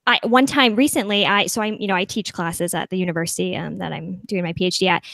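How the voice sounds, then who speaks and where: A young adult woman on a video call, talking things through reflectively with a high voice and plenty of fillers. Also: fast talker, gravelly, fairly bright tone, no room sound. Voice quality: high-pitched voice. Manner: reflective, thinks aloud with many fillers. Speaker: panel guest. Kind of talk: casual panel discussion. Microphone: video call mic.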